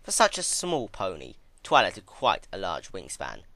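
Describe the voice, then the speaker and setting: A man reads a dark tale as a dramatic narrator. His voice is low yet crisp-edged and forceful, moving expressively up and down. The sound is close and dry. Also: huge pitch swings, normal speed, dry recording. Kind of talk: dramatic scripted narration of dark fan fiction.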